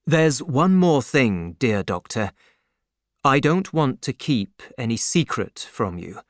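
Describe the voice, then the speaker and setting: Man reading as a sincere young suitor, slow careful delivery, dry close mic. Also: medium pitch, sing-song, dry recording. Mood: earnest. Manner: earnest, measured. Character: earnest young gentleman suitor, sincere and humble.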